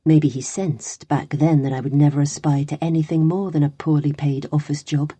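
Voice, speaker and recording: A woman's voice: low-pitched, fairly even intonation, moderate pace, mellow, slightly rough, no room sound. A woman narrating first-person fiction from a script as an audiobook reader.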